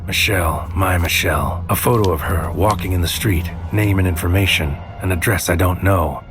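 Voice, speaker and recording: An actor playing a brooding, anxious infiltrator, narrating a surreal sci-fi audio drama in terse, deliberate, poetic phrases. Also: male voice, deep voice, natural voice. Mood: dark, foreboding. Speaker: narrator and lead character. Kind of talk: scripted first-person noir sci-fi audio drama narration. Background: music under the voice.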